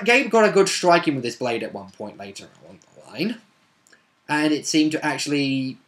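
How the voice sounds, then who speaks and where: Casual male storyteller, a tabletop player retelling a session off the cuff, halting and self-correcting. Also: mid-range voice, a bit bright. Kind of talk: casual unscripted game recap.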